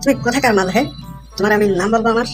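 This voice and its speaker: A woman's voice: a little high, racing, lightly acted. Woman voicing a cheeky cartoon brat, Boltu. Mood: rude. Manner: sassy, back-talking, mocking. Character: cheeky cartoon schoolboy Boltu, rude and mouthy. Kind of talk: comic cartoon voice acting. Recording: music playing behind.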